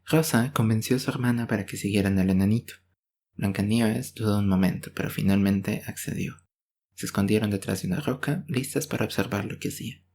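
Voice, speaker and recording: A man's voice: on the low side, fairly quick, darkish tone. A man telling a bedtime story in intimate ASMR style, soothing and affectionate like a boyfriend. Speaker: ASMR roleplay performer, storyteller.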